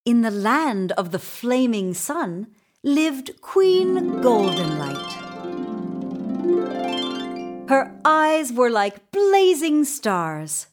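A woman narrates a children's tale at a very unhurried pace. Her pitch moves animatedly as she leans on key words for drama. The delivery is deliberate and expressive, made for storytelling. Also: music in the background.

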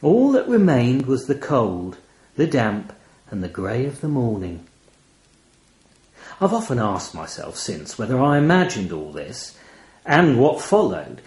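A man narrates a literary short story in the first person, with a medium pitch that moves around freely. His delivery is measured, expressive and wry, and the room adds a noticeable echo. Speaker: audio story reader.

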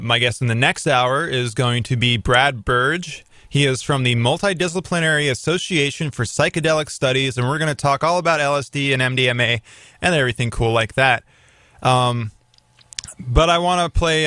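Rambling male radio host, crisp dry voice. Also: mid-range voice, sharp tone, no echo. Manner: rambling, many fillers and restarts. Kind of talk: casual talk radio.

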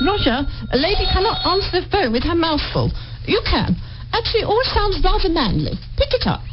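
An actress playing a prim, chiding, sharp-witted woman amateur detective in a radio play. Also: extremely high pitch, big pitch swings, a little fast. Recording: noisy, muffled radio play recording.

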